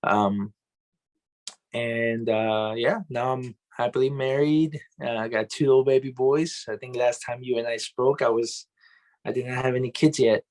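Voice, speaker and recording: A young adult man from Colombia, a pastor and music minister, telling stories in a loose, animated way on an interview show. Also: medium pitch, unhurried. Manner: loose and animated, says "man" and "bro" a lot. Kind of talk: casual interview storytelling.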